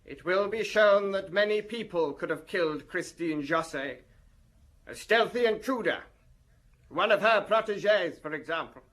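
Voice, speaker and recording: An actor playing a flamboyant French defence lawyer in a radio drama, pompous and insinuating, with florid theatrical delivery. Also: male voice, sits high, animated, moderate pace, fairly bright tone. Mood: grandiose, righteous. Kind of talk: theatrical courtroom-style oratory in radio drama.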